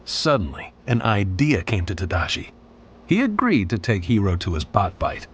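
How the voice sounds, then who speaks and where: A man's voice: on the low side, wildly swooping pitch, normal speed, lo-fi sound, slight background noise. A man reading a scripted children's read-along storybook as its narrator. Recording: music bed behind the voice. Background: background music.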